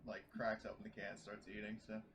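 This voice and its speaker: A man playing a tabletop roleplaying game, chatting casually in a halting, hesitant way. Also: lowish voice, completely flat delivery, a crowd in the background. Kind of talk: casual in-character and out-of-character game chat. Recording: clearly noisy mic with clicks.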